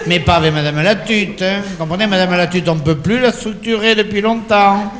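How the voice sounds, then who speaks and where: A man's voice: high voice, fairly quick, big-room sound, noisy background, lightly acted. A man playing a bossy, exasperated woman salon owner, in a live radio comedy sketch. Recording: live radio with audience laughter.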